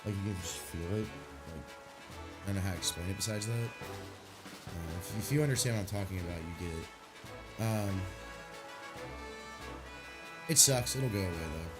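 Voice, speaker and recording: Rambling stuffy-nosed man, low voice. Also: normal speed, long pauses, loud music behind the voice. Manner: rambling, laid-back, swears casually. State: stuffy from a sinus headache. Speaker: game streamer. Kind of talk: casual chat while streaming a game.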